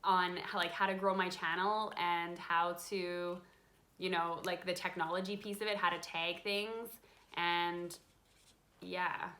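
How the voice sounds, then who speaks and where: A woman in her thirties hosts a relaxed live question-and-answer chat about card making from her home craft room, reading out viewer questions between frequent ums. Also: on the low side, measured pace. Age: 30s. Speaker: craft channel host. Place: home craft room with a desk, lit by a window.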